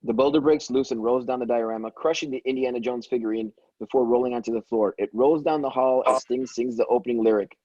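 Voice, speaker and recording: A man's voice: medium pitch, fast talker, hardly any pauses, dark tone, no echo. A man reading stage directions as narrator in an amateur table read, stumbling now and then and correcting himself. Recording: dull, dry lo-fi microphone.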